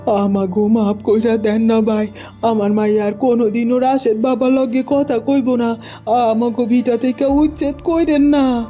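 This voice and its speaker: A woman's voice: a little high, fairly even intonation, a little slow, dark tone, soft background music, close to an everyday voice. A woman voicing a poor, frightened village mother who pleads in a cartoon. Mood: frightened pleading. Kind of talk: cartoon voice acting.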